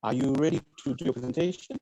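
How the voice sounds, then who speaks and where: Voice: medium pitch, fast, dark tone, no echo, highs cut off. A moderator speaking jovially on a panel call, keeping the session moving. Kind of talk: panel discussion on video call. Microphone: video call.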